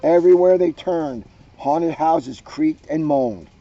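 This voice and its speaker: Higher-pitched playful man reading aloud. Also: slow pace, muffled recording. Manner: playful, joking asides. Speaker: police officer reading a story aloud. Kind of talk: storybook read-aloud with chatty asides.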